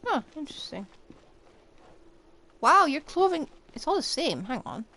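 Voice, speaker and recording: A woman game streamer with a fairly high, lively voice thinks aloud as she plays. Her commentary is blunt and conversational, with faint noise behind. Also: unhurried. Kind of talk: live gameplay commentary.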